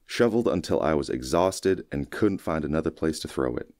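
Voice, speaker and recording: Man, audiobook narrator, very deep pitch, folksy measured storytelling, scripted first-person fiction, dry studio sound. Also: animated, a little mellow, no echo.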